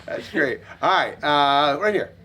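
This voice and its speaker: A man moderating a student Q&A, brisk with short prompts and easy laughs. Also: a little high, expressive, sharp tone. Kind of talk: casual event moderation. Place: university event room.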